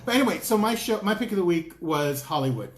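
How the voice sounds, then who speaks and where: Chatty man, higher voice, echoey room. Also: expressive, quick pace. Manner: chatty, playful, jumps into high-pitched exclamations. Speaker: co-host. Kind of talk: casual chat.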